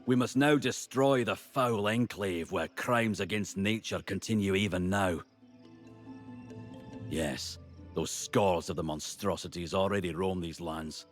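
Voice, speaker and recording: Deep-voiced, grave guardian of nature. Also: male voice, a little slow, soft background music. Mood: grave resolve. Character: grave, dutiful nature-devoted fantasy ally. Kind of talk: dramatic video game acting.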